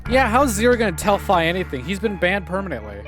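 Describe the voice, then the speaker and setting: A man's voice: high-pitched, big pitch swings, fast, music in the background. A young man streaming a game, chatting casually with his chat, joking and swearing freely.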